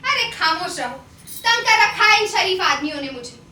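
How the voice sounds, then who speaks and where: A woman on stage playing a proud, fed-up, sharp-tongued courtesan, scolding in a declamatory way. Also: extremely high pitch, lively intonation, moderate pace, full character voice. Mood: angry, scornful. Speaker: stage actor. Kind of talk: Hindi stage play acting. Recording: roomy, distant stage recording with noise.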